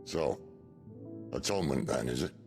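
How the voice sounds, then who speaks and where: An actor playing a gruff, wry rebel leader in a video game, with a gravelly voice and a laconic delivery. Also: male voice, extremely low pitch, lively intonation, moderate pace, darkish tone. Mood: measured, wry. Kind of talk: video game cutscene acting. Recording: background music under the voice.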